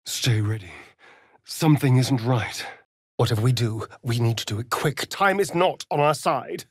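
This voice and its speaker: An actor playing a sly, vain, sardonic vampire rogue in a video game, with an arch, theatrical drawl. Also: male voice, mid-range voice, normal speed, slightly rough, no echo, studio quality, close to an everyday voice. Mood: wary. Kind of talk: video game character voice lines.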